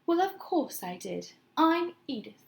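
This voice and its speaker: A woman voicing an excitable, self-assured female cat with dramatic delivery in a children's storybook. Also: sits high, a little slow, gravelly, echoey room, pitched above the performer's own voice, exaggerated voice. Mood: smug. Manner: dramatic exclamations. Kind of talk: children's storybook read-aloud.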